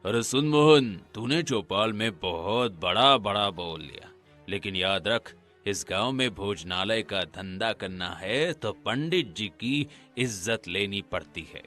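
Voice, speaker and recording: A man voicing a bullying village henchman in a cartoon story, making menacing, drawn-out threats. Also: fairly low pitch, sharp tone, full character voice. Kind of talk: Hindi cartoon story acting.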